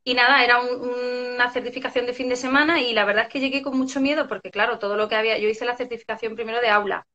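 A woman's voice: on the high side, fairly even intonation, rapid-fire, hardly any pauses, sharp tone, lo-fi sound. A woman in her forties from La Mancha with a Spanish accent, a psychologist guest telling her story over a home video call. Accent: Spanish from Spain. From Castilla-La Mancha, Spain. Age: 40s. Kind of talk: casual interview. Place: home room, indoors. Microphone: video call webcam.

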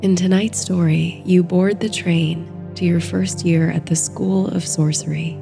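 A woman narrating a bedtime sleep story and guided meditation in a soothing, gentle, hushed and measured way. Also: lowish voice, steady tone, gravelly, over music.

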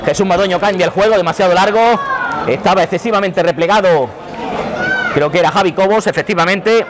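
A man's voice: high voice, a crowd in the background, background noise. A man giving live play-by-play football commentary from a stadium stand, rattling off player names as the action unfolds. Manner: rapid running commentary naming players.

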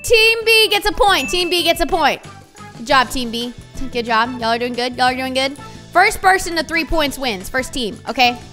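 A woman's voice: high-pitched, animated, moderate pace, pushed and bright, faint music behind. A young woman, hosting a multiplayer game in a bossy, playful way and repeating herself. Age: young adult. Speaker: game host.

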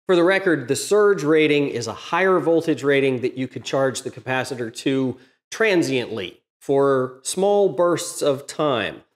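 A man's voice: medium pitch, expressive, unhurried. A middle-aged man hosting a workshop channel, explaining a welder modification to the camera in a plain, methodical way, recorded in his home workshop. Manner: methodical, plain-spoken, technical. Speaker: DIY welding channel host. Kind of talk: explanatory tutorial talk to camera.

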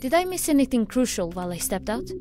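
A woman's voice: fairly high pitch, very animated, fast, mellow. A woman playing a businesslike technical agent in a science fiction audio drama, formal and precise in her speech. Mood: efficient.

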